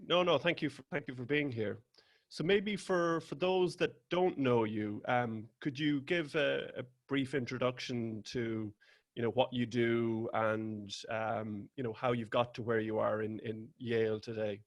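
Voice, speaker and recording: A man's voice: mid-range voice, unhurried. A man hosting a video chat interview, asking friendly, somewhat hesitant questions. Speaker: host. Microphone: video call.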